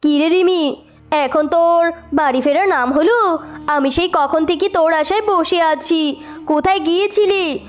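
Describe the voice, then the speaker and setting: A woman's voice: extremely high pitch, measured pace, faint music behind. A woman voicing a greedy, jealous tigress shopkeeper who scolds and nags in a cartoon. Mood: scolding. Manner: haughty, nagging.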